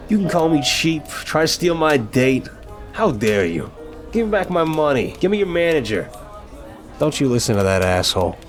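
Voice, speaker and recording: A man's voice: mid-range voice, lightly acted. A man playing an apologetic, flirtatious mafia boss who talks to a date in a teasing, earnest, affectionate way, in an ASMR roleplay. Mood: playfully indignant.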